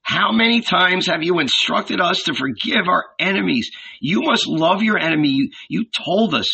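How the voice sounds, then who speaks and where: A man voicing a gentle, earnest young male disciple who questions and pleads in a storybook reading. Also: sits high, lively intonation, moderate pace, gravelly, slightly rough, highs cut off, pitched above the performer's own voice, heavily acted. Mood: troubled, questioning.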